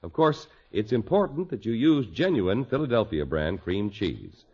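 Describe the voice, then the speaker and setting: Old time radio ad guy, lowish husky voice, very animated pitch, salesmanlike, muffled. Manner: emphatic, salesmanlike, deliberate phrasing. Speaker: radio announcer. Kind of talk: scripted 1950s radio commercial read.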